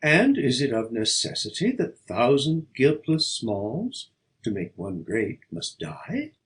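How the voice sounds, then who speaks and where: Male audiobook reader for classic verse: mid-pitched, very animated intonation, unhurried, declamatory delivery with deliberate pauses. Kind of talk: dramatic poetry recitation.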